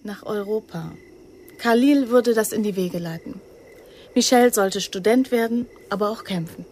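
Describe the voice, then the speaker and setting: An actress playing an earnest, guarded young recruit who answers with restraint in a radio crime drama. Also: female voice, mid-range voice, moderate pace, a little mellow, slight background noise, lightly acted. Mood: subdued, recounting.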